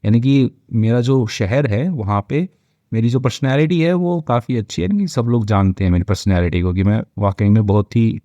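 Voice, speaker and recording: Mellow Indian man teaching, close dry sound. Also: mid-range voice, no room sound, lo-fi sound. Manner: slow word-by-word English reading, colloquial explanatory asides. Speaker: English teacher. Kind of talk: reading an English story line by line and explaining it.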